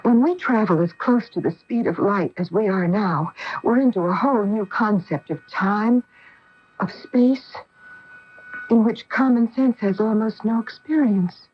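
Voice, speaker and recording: An actress playing a cautious, protective astronaut wife in a radio drama, with an earnest, dramatic delivery. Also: female voice, medium pitch, a little slow, close to an everyday voice. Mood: astonished, urgent. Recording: noisy, muffled AM radio broadcast recording.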